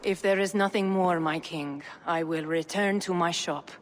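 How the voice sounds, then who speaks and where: A woman's voice: lowish voice, normal speed, close to an everyday voice. A woman playing a shrewd, composed merchant informant at a king's court in a video game, speaking formally. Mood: knowing, composed. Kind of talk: video game cutscene acting. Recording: long reverb, faint noise.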